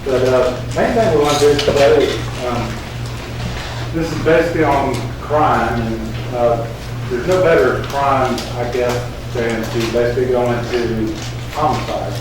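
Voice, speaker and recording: A soft-spoken man gives a class talk, halting often and filling gaps with "uh". He sounds far from the mic in a very echoey room. Steady noise sits under his voice. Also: mid-range voice. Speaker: sheriff's crime investigator.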